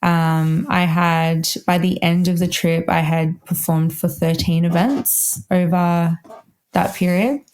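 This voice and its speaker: A woman's voice: fairly low pitch, fairly even intonation, slow, darkish tone. A young woman with an Australian accent, chatting upbeat and casually as an interview guest, with lots of "like" fillers. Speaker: guest, wedding violinist.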